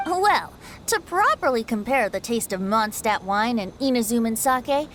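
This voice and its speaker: A woman's voice: a little high, lively intonation, measured pace, fairly bright tone, slight background noise. A woman voicing a carefree, playful young male bard with a light boyish voice and theatrical delivery in a video game. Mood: sheepish. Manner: airy, theatrical storytelling with chuckles.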